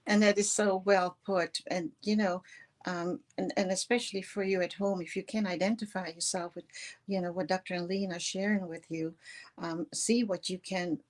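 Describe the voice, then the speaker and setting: A woman's voice: on the low side, fairly even intonation, moderate pace. A woman from the Netherlands with a Dutch accent, giving earnest, rambling spiritual encouragement and repeating words for emphasis. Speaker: show co-host. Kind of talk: conversational spiritual encouragement to viewers.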